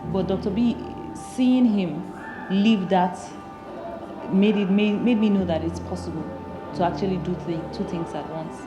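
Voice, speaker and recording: A woman's voice: mid-range voice, normal speed. A young adult woman giving a personal, spoken testimonial about a mentor. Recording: echoey room, loud music over the voice.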